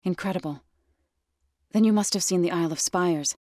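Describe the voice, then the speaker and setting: Low-pitched, earnest warrior woman, played by an actress. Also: normal speed, darkish tone, no room sound, clean studio sound, natural voice. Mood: earnest, steady. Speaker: video game character. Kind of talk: scripted video game dialogue.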